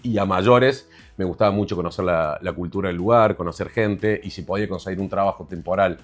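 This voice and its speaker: A man's voice: sits low, a little fast, soft background music. A man in his forties from Argentina, telling his story as an interview guest with many fillers and restarts. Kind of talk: conversational interview.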